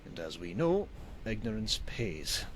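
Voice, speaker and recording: An actor playing a wry, cynical traveling merchant in a video game, with a theatrical, sardonic manner and old-fashioned phrasing. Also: male voice, fairly low pitch, sing-song, a little slow, slight background noise. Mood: sardonic, teasing. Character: wry, cynical male traveling merchant, grim about bandits. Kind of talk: voiced video game dialogue.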